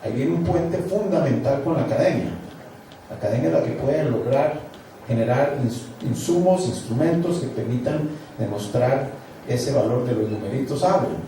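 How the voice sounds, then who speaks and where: Mellow moderator in an echoey hall. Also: male voice, medium pitch, expressive, moderate pace. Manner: formal, long winding questions. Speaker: panel moderator. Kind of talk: moderating a panel, posing questions. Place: large hall. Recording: echoey hall, far from mic, some noise.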